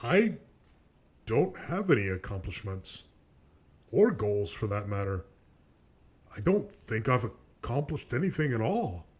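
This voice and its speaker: A man acts a self-loathing cartoon loser with a raspy, husky voice. His pitch jumps about a lot as he talks in a bitter, halting manner. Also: sing-song, a little mellow. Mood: dejected. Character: foul-mouthed, self-loathing loser with a witty attitude. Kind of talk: animated web cartoon dialogue.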